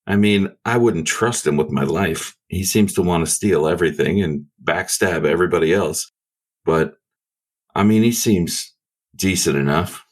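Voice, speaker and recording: A man's voice: sits low, normal speed. A man playing a tabletop role-playing game with wry, dry humor. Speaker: player. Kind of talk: casual tabletop play.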